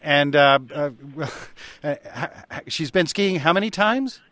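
A man's voice: on the high side, lively intonation, unhurried, fairly bright tone, dry recording. A man hosting a call-in radio show, easygoing and wry as he takes callers. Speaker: radio host.

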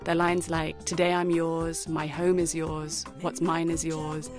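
A woman's voice: low voice, little pitch movement, unhurried, a little mellow, soft background music. Reflective woman with British-Kenyan accent. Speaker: radio producer. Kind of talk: personal scripted radio segment.

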